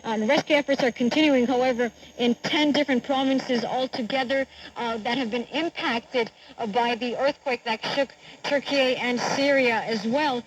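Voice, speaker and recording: A woman's voice: fairly high pitch, fairly even intonation, normal speed, few pauses, muffled recording. A woman giving a live news report as a field correspondent, outdoors on location. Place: outdoors in a city centre.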